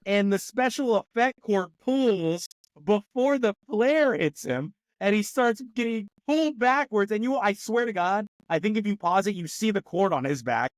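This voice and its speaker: A man's voice: high-pitched, lively intonation, moderate pace, no room sound. Rambling man on a movie podcast. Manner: rambling, filler-heavy, laughs often. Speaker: podcast co-host. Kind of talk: casual movie review chat.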